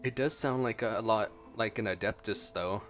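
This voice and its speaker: Male game streamer: fairly low voice, casual, playful, thinking aloud over faint game music. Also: moderate pace, fairly bright tone. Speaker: gameplay streamer. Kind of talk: casual gameplay reaction commentary.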